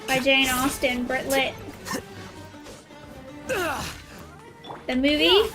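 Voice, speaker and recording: A woman game streamer with a high voice chats casually as she plays. Her remarks are playful and slangy, and game music runs clearly behind her. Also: drawn-out words, low-quality recording. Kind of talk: casual live gameplay commentary.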